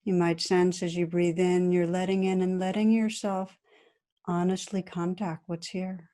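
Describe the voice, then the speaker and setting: A woman meditation teacher guiding a practice in a gentle, soothing, unhurried manner with long pauses between phrases. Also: fairly low pitch, flat delivery. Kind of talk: guided meditation.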